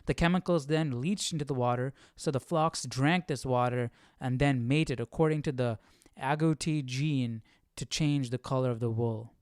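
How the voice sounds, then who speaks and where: A man hosting a casual live Bible study, reading aloud and thinking out loud in an informal, slangy way. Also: medium pitch, moderate pace, dry recording, studio quality.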